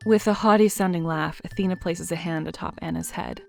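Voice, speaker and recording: A woman narrating an audio drama in a dry, measured storytelling manner. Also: lowish voice, creaky, darkish tone, faint music behind. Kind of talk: scripted narration.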